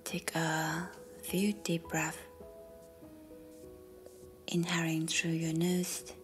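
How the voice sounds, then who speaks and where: A woman leading a guided meditation in a soft whisper, slow and calm. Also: deep voice. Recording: faint music behind.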